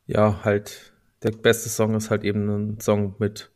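A man's voice: fairly low pitch, completely flat delivery, unhurried, a little mellow. A man co-hosting a podcast, chatting casually about music and hedging as he circles toward his point. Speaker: podcast co-host. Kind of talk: casual music review chat.

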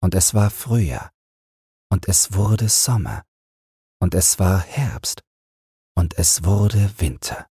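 A man gently narrating a bedtime fairy tale in a soothing, measured way, close to the microphone. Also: sits low, expressive, breathy edge, no echo. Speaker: audiobook narrator.

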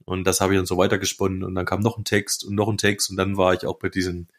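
A man's voice: deep voice, monotone, fast. A man chatting casually as co-host of a podcast. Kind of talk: casual chat.

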